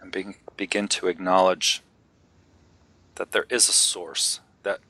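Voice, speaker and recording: Male meditation guide: lowish, crisp-edged bright voice, gentle and unhurried, leaving long pauses. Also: level tone, no room sound.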